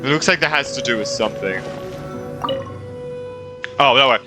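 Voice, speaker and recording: A man's voice: medium pitch, normal speed, music in the background. A young man giving casual, reactive gaming commentary and reading game dialogue aloud in an informal way. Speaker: gaming streamer.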